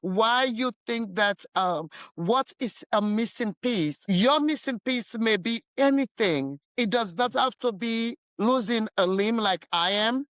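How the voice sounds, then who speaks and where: A woman with a deep, projecting voice hosts a relaxed conversational interview. She speaks haltingly, breaking off phrases and starting over. The sound is dry and close to the mic. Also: dry recording. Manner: halting, with many broken-off phrases. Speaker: interviewer, host.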